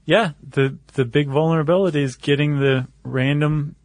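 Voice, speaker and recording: A man's voice: fairly high pitch, fairly even intonation, moderate pace, no echo. An American man co-hosting a podcast, explaining things in a casual, conversational way. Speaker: podcast co-host.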